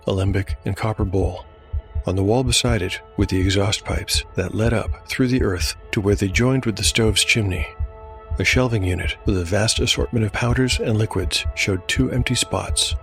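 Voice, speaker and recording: A man with a fairly low voice narrates a dark fantasy audio drama. His delivery is measured and dramatic, like scripted storytelling, with music clearly playing behind him. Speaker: narrator and host.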